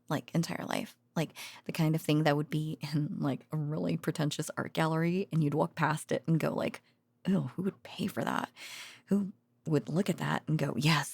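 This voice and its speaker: A woman playing an affectionate, excitable researcher girlfriend in an intimate bedtime roleplay, speaking softly and breathily in a hesitant, chatty way close to the microphone. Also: deep voice, heavily acted. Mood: chatty, animated. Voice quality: breathy, soft, often near a whisper. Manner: hesitant, chatty, with sighs and giggles. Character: affectionate, rambling, excitable researcher girlfriend soothing her boyfriend to sleep. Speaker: voice actor. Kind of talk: intimate ASMR-style roleplay monologue.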